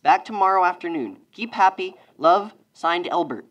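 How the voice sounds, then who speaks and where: An actress playing a businesslike telegram clerk in a radio play, reciting in a formal, matter-of-fact way. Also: female voice, lowish voice, expressive, normal speed, lo-fi sound. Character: businesslike telegram company clerk reading a message.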